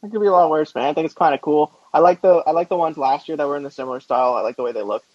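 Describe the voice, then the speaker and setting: A man's voice: on the high side, rapid-fire, no room sound. A man co-hosting a casual sports podcast with laid-back, teasing banter, on slightly dull, lo-fi audio. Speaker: podcast co-host.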